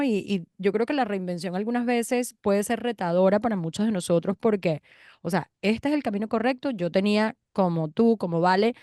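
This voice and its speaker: A woman co-hosting a podcast, chatting casually and personally in an expressive manner. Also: mid-range voice, no room sound, studio quality.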